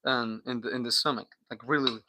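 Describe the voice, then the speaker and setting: Male livestreamer from Guatemala, Spanish native. Very sharp, bright tone, mid pitch, slangy and loose with swearing. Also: fairly even intonation, fairly quick, no echo. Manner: slangy, bro-heavy, swearing. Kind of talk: casual livestream chat.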